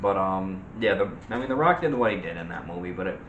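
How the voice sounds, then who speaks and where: A man co-hosting a casual movie chat, giving opinions in a halting, rambling, repetitive way. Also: deep voice, animated, narrow, tinny audio. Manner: halting, rambling, repeats himself. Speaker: co-host of a movie discussion show. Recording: roomy echo with faint background noise.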